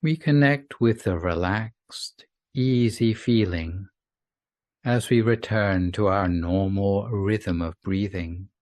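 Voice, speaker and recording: Slow, low-voiced man guiding sleep meditation. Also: dry recording, studio quality. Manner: soothing, unhurried, gentle, with long pauses. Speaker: meditation guide. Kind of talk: scripted guided sleep meditation.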